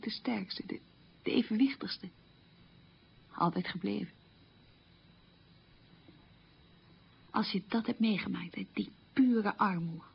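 Resilient woman telling her memories for radio, really raspy n creaky, plain storytelling, bit muffled old recording. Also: medium pitch. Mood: reflective. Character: resilient woman recalling a poor, hungry wartime childhood. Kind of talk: radio documentary-drama monologue, recounting memories.

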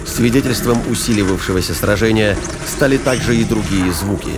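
A man's voice: lowish voice, heavy background noise. A man reading scripted narration for a science fiction thriller audio drama. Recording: narration over music and battle effects.

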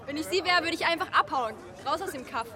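A cheeky, curious schoolgirl with a very high, swooping voice, outdoors among other voices. She fires blunt, provoking questions in radio drama style. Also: animated, fast talker, crowd noise. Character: teenage schoolgirl, cheeky and curious, taunting a loner.

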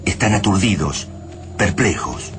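An Argentine man narrating a radio drama in a deep, solemn, literary manner. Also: animated, a little slow, over music. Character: grave narrator of a post-invasion tale. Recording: noisy radio drama soundtrack.

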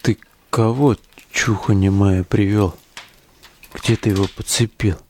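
An actor playing a hot-tempered, crude young neo-Nazi relic digger in an audio drama, brash, slangy and sneering. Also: male voice, lowish voice, fairly even intonation, a little slow, darkish tone, a little hiss or hum. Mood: irritated.

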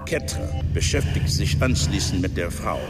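A man's voice: low voice, animated, normal speed, over loud music. A man acting as a sober, matter-of-fact chronicler of grim events in a radio drama. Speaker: narrator. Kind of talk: documentary-like radio drama narration. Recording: loud ambience and vehicle noise behind.

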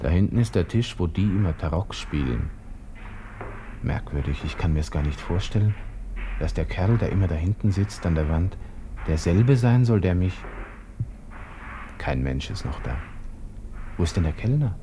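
An actor playing a young, vain, agitated army lieutenant with a Viennese accent, muttering to himself in a radio drama monologue. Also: male voice, deep voice, expressive, moderate pace, pauses often, noisy background. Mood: restless musing. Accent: Austrian, Viennese. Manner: muttering to himself, shifting abruptly from musing to exclaiming.

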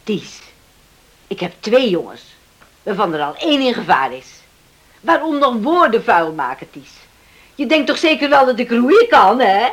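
A determined island mum in a radio drama, highish voice, earnest n stubborn. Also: female voice, big pitch swings, moderate pace, pauses often. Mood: pleading, resolute. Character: determined island mother rallying a lifeboat crew for her son. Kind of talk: Dutch radio drama acting.

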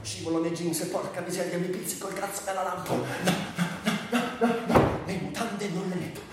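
A man's voice: sits high, fairly even intonation, brisk, over loud music. An actor playing a bitter, sardonic, tormented man confined by illness in a theatrical monologue, volatile between muttering and declaiming. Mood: restless, brooding. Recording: very echoey, distant, faint noise.

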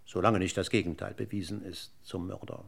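An actor playing a courteous but relentlessly needling police commissioner in a radio crime drama, formal and deliberate. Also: male voice, deep voice, fairly even intonation, gravelly, breathy edge, exaggerated voice. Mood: probing, needling.

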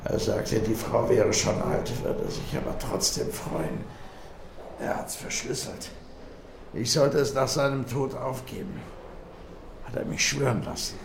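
An actor playing an injured man confiding a secret in a radio drama, weak and halting. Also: medium pitch. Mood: strained, confiding. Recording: roomy, with clear background noise.

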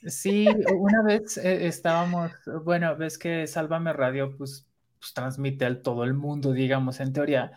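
Casting: deep-toned woman, Mexico City Spanish accent, easygoing podcast co-host who laughs a lot. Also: steady tone, unhurried, darkish tone. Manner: chatty, laughs often, trails into asides. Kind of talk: casual chat.

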